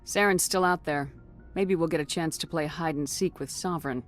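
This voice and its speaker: An actress playing a steady, decisive military commander in a video game, direct and confident. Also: female voice, low-pitched, normal speed, faint music behind, natural voice. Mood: resolute. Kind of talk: video game cutscene acting.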